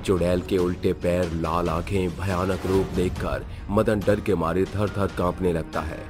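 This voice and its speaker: Male horror-story narrator: low voice, scripted storytelling, faint music bed. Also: flat delivery, unhurried.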